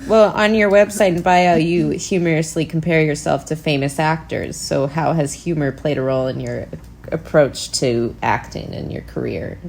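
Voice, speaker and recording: A woman's voice: low-pitched, normal speed, vocal fry, a little mellow. A woman chatting casually as she co-hosts an interview podcast. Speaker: podcast co-host. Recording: faint background noise.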